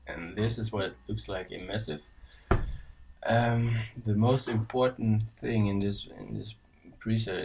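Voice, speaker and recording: A man's voice: fairly low pitch, distant mic. A man with a Dutch accent walking through a synth patch, talking casually with frequent ums and self-corrections. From Netherlands. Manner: casual, halting, many ums and restarts. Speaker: synth tutorial presenter. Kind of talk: screen-recorded software tutorial. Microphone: voice-over on screen recording.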